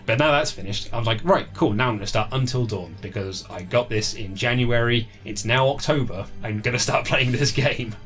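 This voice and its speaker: A man's voice: medium pitch, a little fast, few pauses, fairly bright tone, soft background music. A man chatting casually as an interview guest, animatedly quoting imagined reactions as he talks about films and games. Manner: chatty, acts out imagined quotes. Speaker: interview guest, writer and presenter.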